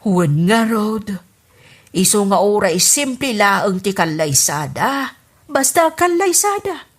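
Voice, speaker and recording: A woman playing a gentle, hesitant wife named Marta in an Ilocano radio drama. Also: medium pitch, very animated, slightly rough, full character voice. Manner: slow, hesitant.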